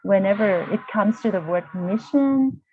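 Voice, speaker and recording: A woman's voice: medium pitch, moderate pace, a little mellow. A woman, telling her personal story as a guest, hesitant and restarting often as she searches for words. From Vietnam. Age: 40s. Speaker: guest, university lecturer. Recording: tinny, dry video-call audio.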